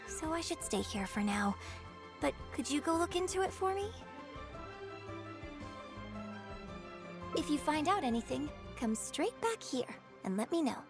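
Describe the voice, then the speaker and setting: An actress voicing a poised young opera star in a game, gracious but weary, with measured, refined delivery. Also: female voice, a little high, vocal fry. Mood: concerned. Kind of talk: voice-acted game cutscene dialogue.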